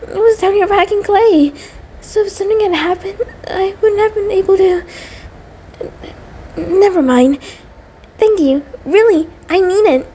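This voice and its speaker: A woman's voice: extremely high pitch, unhurried, pauses often, mellow, slight background noise. A woman playing an anxious, affectionate female centipede monster, nervous, stammering and apologetic, in an intimate roleplay audio drama. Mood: worried, tearful.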